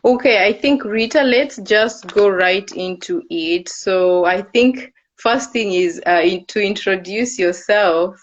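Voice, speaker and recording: A young woman speaks slowly and hesitantly, hosting a relaxed livestream chat. Her voice comes through dull and lo-fi, as over a video call. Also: medium pitch. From Kenya. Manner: unhurried, hesitant with frequent fillers. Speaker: host of a live video.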